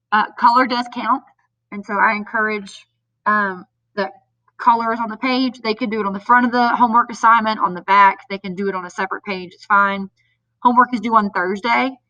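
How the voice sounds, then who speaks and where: A woman's voice: mid-range voice, bright, forceful tone, dry recording, recorded from a distance. Nasal-sounding woman teacher with a cold. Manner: patient step-by-step explaining with frequent ums. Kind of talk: homework explainer video for parents. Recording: dull lo-fi sound a bit off mic.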